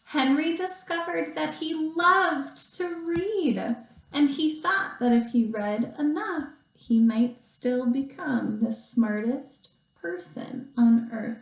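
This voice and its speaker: A woman teacher reading a picture book aloud to young children, expressively, with deliberate pauses and stretched emphasis. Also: high voice, vocal fry. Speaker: second grade teacher. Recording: roomy, echoey sound.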